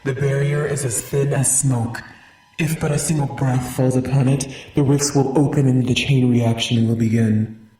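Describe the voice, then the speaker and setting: Man acting a dying spider god, voice raised well above his own, dark, echoing, menacing, drawn-out taunts, audio drama villain. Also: medium pitch, fairly even intonation, voice pushed up, natural voice. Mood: gloating, ominous.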